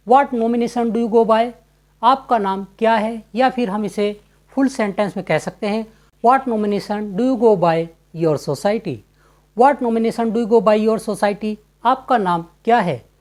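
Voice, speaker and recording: Woman, English teacher, slide lesson, repeats examples twice, very slow and deliberate, even instructional tone. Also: mid-range voice. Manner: explains in clear, even instructional tone, asking viewers to comment.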